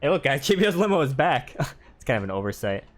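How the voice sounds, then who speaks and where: A man's voice: medium pitch, sing-song, fast. A man giving casual gameplay commentary as a gaming video creator.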